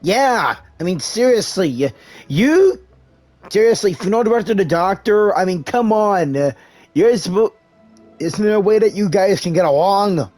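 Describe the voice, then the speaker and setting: A woman gamer casually voicing the player character's lines in a let's-play, halting with fillers. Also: mid-range voice, expressive, darkish tone. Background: game music.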